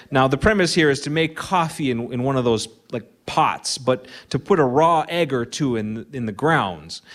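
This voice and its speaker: A middle-aged man with a Minnesota accent, hosting a live radio show from a barn with a dry, wry comic monologue. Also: medium pitch. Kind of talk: humorous monologue to a live audience.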